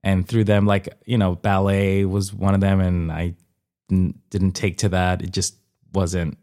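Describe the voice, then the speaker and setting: A man's voice: deep voice, little pitch movement, a little slow, warm tone. A young man from Colombia chatting casually as an interview guest, informal and hesitant, often rephrasing himself and checking for agreement. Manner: informal, hesitant and self-correcting, often seeking agreement with "you know". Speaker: interview guest, comedian and former dancer. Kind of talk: casual conversational interview, personal storytelling.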